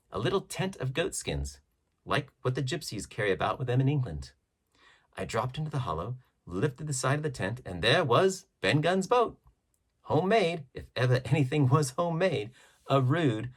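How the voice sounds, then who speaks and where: A man reading a classic adventure novel aloud as expressive, measured audiobook narration with emphatic phrasing. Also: lowish voice, husky. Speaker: audiobook reader.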